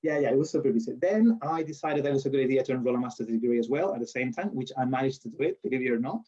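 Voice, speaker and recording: A man's voice: mid-range voice, expressive, rapid-fire, mellow, slightly rough, dull audio. A man from Spain with a strong Spanish accent, chatting in an interview and telling stories with restarts and laughs. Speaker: lecturer being interviewed. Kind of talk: casual interview.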